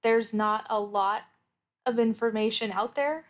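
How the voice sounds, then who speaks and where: A woman's voice: fairly high pitch, unhurried. A woman playing a tabletop roleplaying game, talking casually and thinking her answers through aloud in a thoughtful way. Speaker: player in an actual play podcast.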